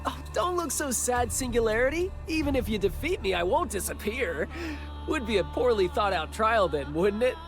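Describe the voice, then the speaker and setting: A youthful god of war and wind for a fantasy game. His voice is high for a male, and he speaks in grand, poetic, formal proclamations. Prominent music plays behind him. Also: animated, normal speed. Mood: reassuring, proud. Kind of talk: video game English dub acting. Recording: voice over background music.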